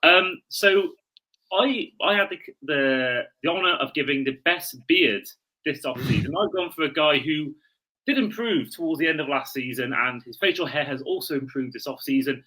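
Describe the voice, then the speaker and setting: Bright, forceful male sports podcast host. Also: on the high side, normal speed, poor audio. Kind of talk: casual sports chat.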